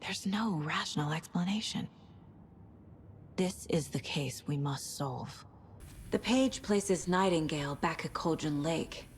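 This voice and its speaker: An actress playing a focused, determined investigator in a video game, speaking in a serious, analytical way. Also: female voice, sits low, unhurried, slight background noise. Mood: serious, resolute. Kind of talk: naturalistic video game acting.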